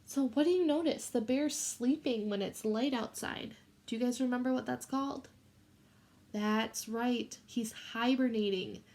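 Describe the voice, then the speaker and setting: A woman reading a picture book aloud to young children in an expressive way, pausing to ask them questions. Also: on the high side. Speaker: children's storybook read-aloud host.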